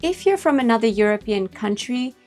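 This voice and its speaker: A woman's voice: fairly high pitch, lively intonation, a little fast, a little mellow. Woman explaining, music behind. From Finland. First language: Finnish. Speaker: host of an expat advice video. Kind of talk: scripted informational explainer.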